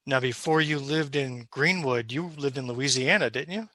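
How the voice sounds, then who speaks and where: A man from New York hosting a community Q&A, introducing and interviewing a guest in a friendly, slightly halting way. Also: mid-range voice, sharp tone, dry recording. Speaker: host, party member.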